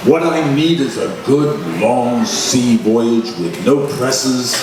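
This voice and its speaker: A man's voice: mid-range voice, takes it slowly, lightly acted. A man playing a worn-out, exasperated newspaper boss in old-time radio drama style, with theatrical, emphatic delivery. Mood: weary, frazzled. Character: blustery, frazzled, worn-out male newspaper boss. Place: live stage recreation at a festival. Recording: echoey hall, distant mic, noisy.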